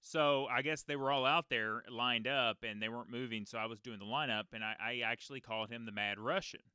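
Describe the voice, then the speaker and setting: A man in his thirties with a deep voice, a race announcer hosting a casual podcast and telling long stories with many fillers. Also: steady tone, a little fast, pushed and bright, smooth, no room sound, studio quality. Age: 30s. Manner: rambling storyteller, many fillers and restarts.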